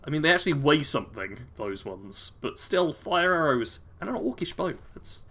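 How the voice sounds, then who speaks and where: A chatty British man with a bright, forward voice, talking through a game stream. He keeps up a loose, friendly chatter as he answers viewers, chuckling often between comments. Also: a little high, sing-song, normal speed. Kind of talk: casual live-stream commentary, reading chat aloud.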